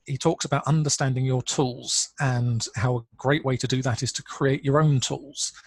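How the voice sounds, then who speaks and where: A man giving an online conference talk in a relaxed, conversational way, with fillers, over a video call. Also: mid-range voice, slightly rough, no room sound. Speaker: conference speaker.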